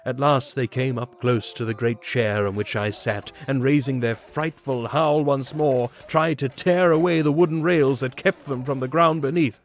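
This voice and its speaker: A man's voice: medium pitch, steady tone, normal speed, few pauses. A man giving a grave, theatrical reading of a literary story as an audiobook narrator. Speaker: audiobook reader. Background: background music.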